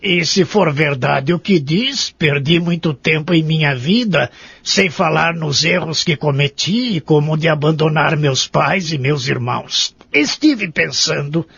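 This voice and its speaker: An actor playing a doubting, grumpy old man in an audio drama, speaking formally and reflectively with a husky, creaky voice. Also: on the high side, a little slow, fairly bright tone, no room sound, lo-fi sound, heavily acted. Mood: wistful. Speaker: narrator and lead character. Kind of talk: audio drama acting and narration.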